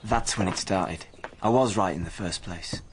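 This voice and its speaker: An actor playing a wry, confiding working-class young drifter, narrating a radio drama in colloquial speech. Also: male voice, low-pitched, moderate pace, slight background noise, natural voice. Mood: reflective. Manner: colloquial, non-standard grammar.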